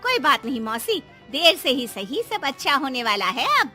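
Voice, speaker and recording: A sympathetic younger neighbour from a moral story, voiced by a woman. Her voice is high, bright and very lively as she offers emphatic comfort. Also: moderate pace, soft background music, full character voice. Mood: sympathetic, glad. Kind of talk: Hindi moral story dramatisation.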